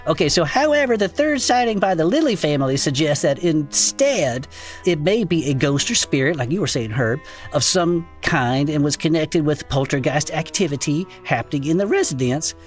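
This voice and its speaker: A performer playing an irreverent, excitable non-human cryptid podcaster in a comedic audio drama, talking in folksy slang. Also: mid-range voice, moderate pace, faint music behind, lightly acted. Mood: bemused. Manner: folksy slangy casual speech, chuckling. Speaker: co-host of a cryptid discussion show. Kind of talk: improvised comedic audio fiction banter.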